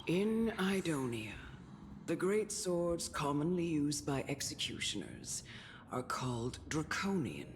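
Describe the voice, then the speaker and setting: An actor playing a grave, wise guardian of death who counsels a child in a measured, solemn video game style. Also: medium pitch, sing-song, a little hiss or hum. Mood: grave storytelling. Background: music.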